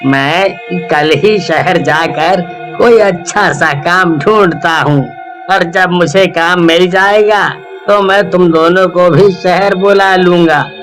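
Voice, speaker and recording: A man playing a poor, weary village husband in a cartoon story, with drawn-out, emphatic delivery. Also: sits high, a bit bright, faint music behind. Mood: weary, resolved. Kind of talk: Hindi cartoon story voice acting.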